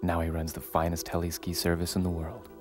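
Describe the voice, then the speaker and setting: A man with a low, deep voice narrates a scripted documentary. He tells it like a story, at a measured delivery, with music clearly playing underneath. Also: monotone. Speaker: voice-over narrator.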